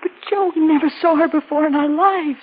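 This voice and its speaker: An actress playing a frightened, timid young bride in 1940s radio drama style, anxious and hesitant. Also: female voice, high voice, fairly even intonation, a little fast, lightly acted. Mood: distressed, timid. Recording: tinny, band-limited old radio recording, faint noise.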